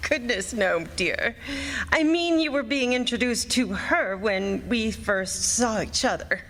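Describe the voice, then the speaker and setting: Radio drama, woman as demure, gushing young sweetheart: highish, lively, unhurried, reverberant stage sound. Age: young adult. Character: coy, adoring young secretary fiancée. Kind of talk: old-time radio play acting. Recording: stage performance in reverberant hall.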